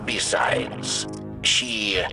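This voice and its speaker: A man playing a dignified alien elder in a video game, speaking formally and haltingly, with dry wit. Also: fairly low pitch, steady tone, music in the background. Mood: grave, measured. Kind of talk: video game cutscene acting.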